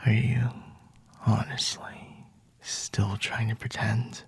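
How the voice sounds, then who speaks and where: A man playing a flirty, possessive vampire in an intimate ASMR roleplay, whispering slowly and teasingly in a breathy, husky voice. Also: fairly low pitch, flat delivery, dry recording, exaggerated voice. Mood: seductive, soothing.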